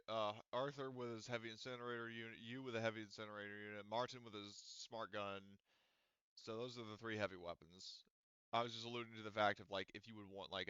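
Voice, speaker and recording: A man's voice: on the low side, fairly bright tone, clean tone, no echo, lo-fi sound. A man running a tabletop game as game master, talking casually with fillers and casual swearing.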